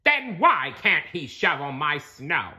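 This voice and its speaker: A grumpy male cartoon squid, acted out by a man reading a storybook, pitched well up and swinging widely. He is sarcastic and exasperated, with a bright, forceful edge to his griping. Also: sing-song, slow pace, full character voice. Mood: sarcastic rant. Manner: sarcastic, complaining, prone to shouting outbursts.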